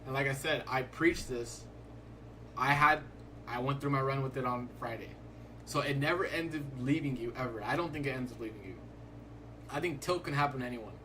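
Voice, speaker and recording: Young guy, easy-going streamer, mid-pitched voice, loose rambling delivery, faint hiss behind. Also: a little fast. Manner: laid-back, rambling, fills talk with "like". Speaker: livestreaming day trader. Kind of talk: casual live-stream chat.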